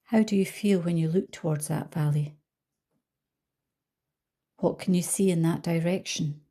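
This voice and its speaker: A woman leading a guided visualisation as a coach, speaking calmly and gently with soft trailing phrases. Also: lowish voice, measured pace. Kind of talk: guided meditation narration.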